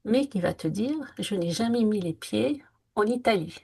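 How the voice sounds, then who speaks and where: A woman's voice: medium pitch, animated, moderate pace, gravelly, a little mellow, husky. A woman co-hosting a conversational podcast, speaking reflectively and earnestly with frequent hesitations. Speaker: podcast co-host. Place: lived in France.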